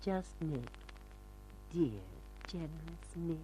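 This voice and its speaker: An actress playing an unhappy, yearning gangster's girlfriend in an old-time radio melodrama, breathy and melodramatic. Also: sits low, slow, background noise. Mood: bitter, guarded. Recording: old radio recording, music behind.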